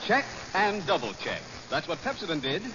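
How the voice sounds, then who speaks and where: A male announcer reading a 1940s radio commercial in a brisk, persuasive way. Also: fairly high pitch, sing-song. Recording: dull 1940s radio broadcast, faint hiss.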